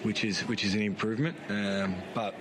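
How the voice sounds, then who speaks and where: Hoarse football coach, low-voiced, halting, frank, recorded in a noisy press room. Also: male voice, fairly even intonation. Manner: halting, frank, many fillers and restarts. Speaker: head coach. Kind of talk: post-match press conference answers.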